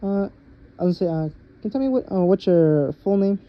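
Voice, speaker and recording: A young man, a student, conducting an interview for a class, halting and full of uhs and quick laughs. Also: high voice, lively intonation. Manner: halting, filler-heavy, nervous laughs. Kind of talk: casual recorded interview. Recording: muffled with light background noise.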